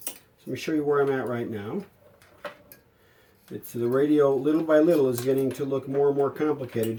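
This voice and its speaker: A man giving a casual, hands-on workshop explanation as a hobbyist restorer, haltingly thinking aloud as he works. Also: medium pitch, mellow. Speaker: hobbyist radio restorer and video maker. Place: indoor home workshop at a workbench.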